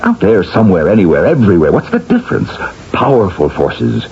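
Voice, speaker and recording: A man's voice: on the low side, huge pitch swings, brisk, creaky, darkish tone, full character voice. An actor playing an earnest, guilt-ridden husband in an old-time radio drama, insistent and emphatic as he persuades. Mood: fervent, insistent. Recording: muffled vintage radio, faint hiss.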